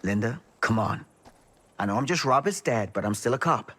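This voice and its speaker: An actor playing a stern, weary middle-aged small-town sheriff and dad in a video game, speaking with plain authority. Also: male voice, medium pitch, lively intonation, moderate pace, a bit bright. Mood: stern, pleading. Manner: authoritative, warning, plain-spoken. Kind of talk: naturalistic video game cutscene acting.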